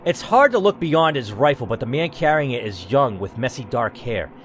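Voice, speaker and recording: A man's voice: mid-range voice, sing-song, normal speed, slight background noise. A man reading an interactive story game aloud and stopping to think aloud about his choices, with the odd curse. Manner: reads in first person, then breaks off to think aloud and curse. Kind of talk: reading narration with playthrough commentary.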